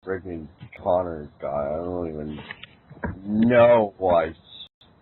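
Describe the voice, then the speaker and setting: A man acts out an awkward, baffled young guy in an amateur story reading. The voice sits fairly low and mumbles slangy, hesitant lines at an unhurried pace. The sound is thin and slightly noisy. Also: animated. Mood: sheepish, glum. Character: awkward, baffled young guy getting his fortune told. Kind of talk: amateur fan fiction dramatic reading. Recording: thin phone-like sound with background noise.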